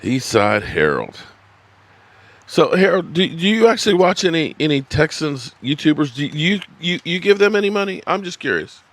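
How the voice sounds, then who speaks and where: Animated man in his fifties, live-stream host. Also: fairly high pitch, moderate pace, dry recording. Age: 50s. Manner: rambling, swearing, laughing at viewers' comments. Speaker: sports channel host. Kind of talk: live-stream sports talk, reading chat. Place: at his desk, indoors.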